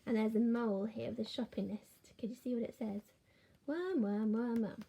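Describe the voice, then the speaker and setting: A woman teacher reading a picture book aloud to children, gentle, with soft whispered asides. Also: fairly high pitch, moderate pace, gravelly, husky, highs cut off.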